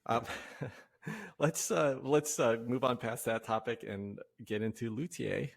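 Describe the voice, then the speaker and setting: A man hosting a podcast in casual discussion, speaking conversationally and haltingly as he thinks aloud. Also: medium pitch, creaky. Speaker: podcast host leading a discussion.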